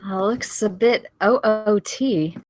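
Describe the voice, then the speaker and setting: A woman's voice: mid-range voice, takes it slowly, a little mellow, no room sound. A woman reading the part of a playful, curious yet guarded wife in a film script, casual and teasing. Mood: doubtful. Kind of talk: film script table read, naturalistic dialogue.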